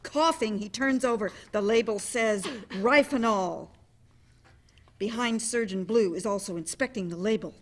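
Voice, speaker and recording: A woman's voice: medium pitch, measured pace. A woman reading stage directions as scripted narration at a dramatic play reading.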